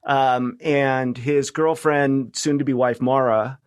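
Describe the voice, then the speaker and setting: A man's voice: medium pitch, steady tone, takes it slowly, clean tone, no room sound. Middle-aged American man, dry close recording. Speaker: podcast guest, journalist. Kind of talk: casual chat, reminiscing.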